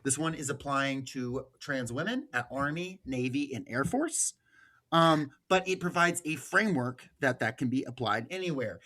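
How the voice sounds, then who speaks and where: A man's voice: medium pitch, moderate pace, pushed and bright. A man with an American accent giving political commentary as a podcast host, partly reading from notes.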